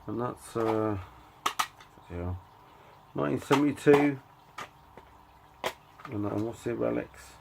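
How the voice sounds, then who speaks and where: A middle-aged man giving a casual show-and-tell of his collection, talking in a halting, offhand way and reading out titles in short bursts. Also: mid-range voice, creaky, noisy background. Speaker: record collector and channel host.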